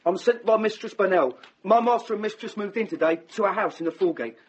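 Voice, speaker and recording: An actor playing a young manservant in a radio drama, hesitant and deferential with a guarded, faintly resentful edge. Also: male voice, high voice, fast, husky, muffled recording.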